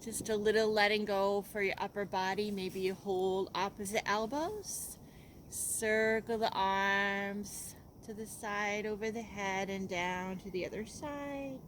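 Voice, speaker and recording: A woman yoga teacher leading a guided relaxation in a gentle, unhurried, soothing way, leaving pauses between instructions. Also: mid-range voice, a little hiss or hum.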